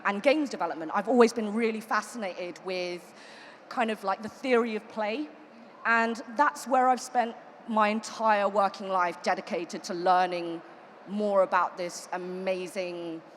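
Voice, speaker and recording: A woman speaks on a conference panel, reflective and loose, with plenty of ums. Her voice rings out in a big hall with long reverb. A faint hiss sits underneath. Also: medium pitch. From United Kingdom. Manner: reflective, loose, with many fillers.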